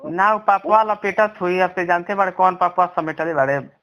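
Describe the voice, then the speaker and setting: A man's voice: high voice, moderate pace, a bit bright, poor audio. A man with a Bhojpuri accent in a heated phone conversation, scolding bluntly and swearing crudely, on a recorded call.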